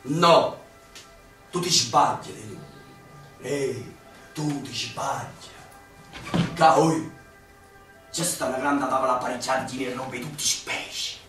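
A man's voice: medium pitch, slow, pauses often, fairly bright tone. An actor playing a hungry young Neapolitan man who daydreams about a feast, speaking with a Neapolitan accent in a stage play for radio. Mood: dreamy. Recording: very echoey stage, distant mic, music underneath.

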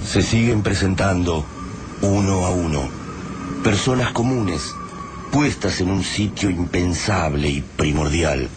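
An actor as the grave storyteller of an apocalyptic tale, narrating a radio drama in an Argentine accent. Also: male voice, sits low, slow. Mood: grave, atmospheric. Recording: noisy atmospheric sound bed.

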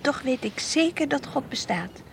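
A high, girlish voice of a devout Dutch teenager, earnest and dreamy with a stubborn streak. She speaks as in a radio drama diary, with a Netherlandic accent, over faint recording noise. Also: lively intonation, normal speed, vocal fry. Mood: earnest, sure. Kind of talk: Dutch radio play acting, diary monologue and dialogue. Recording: faintly hissy old radio play recording.

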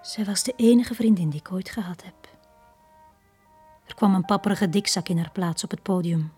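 A Flemish actress playing a lonely, downtrodden young bar girl in a radio drama, speaking in a hushed, halting, confiding way. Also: mid-range voice, faint music behind. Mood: melancholy. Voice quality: breathy, hushed.

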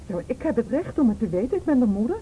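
An actress playing a defensive, easily flustered mother in a radio drama, with an agitated, halting delivery. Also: sing-song, narrow, tinny audio. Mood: alarmed, defensive. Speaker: actor in a radio play. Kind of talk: Dutch radio drama acting. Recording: rumbly, noisy old radio recording.

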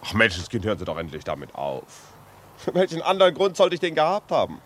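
A male actor playing a curious, easygoing journalist in a German radio play, chatty and animated in delivery. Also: on the low side, brisk. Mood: amused, exasperated. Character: breezy, curious journalist, amused and reassuring.

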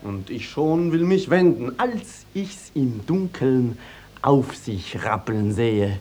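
A man's voice: medium pitch, expressive, takes it slowly, dark tone. An actor playing a hot-headed, plain-spoken young peasant who tells his story emphatically in a classical radio play. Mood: indignant recounting. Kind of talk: 1950s German classical radio play acting.

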